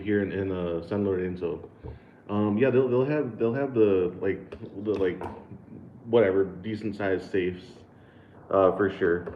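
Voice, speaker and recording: A man's voice: lowish voice, roomy sound, narrow, tinny audio, a little hiss or hum. An American man hosting a casual livestream, reading super chats aloud, laid-back, laughing often and stumbling over repeated words and fillers. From United States. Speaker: livestream host.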